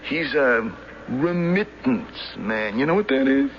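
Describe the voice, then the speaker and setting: A brash, streetwise gossip in an old seventies radio drama. His voice sits fairly high and swings about in pitch as he talks in blunt slang. The sound is muffled, with some noise. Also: huge pitch swings, slow pace, full character voice. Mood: gossipy, dismissive. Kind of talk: 1970s radio drama acting. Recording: dull, noisy 1970s radio broadcast.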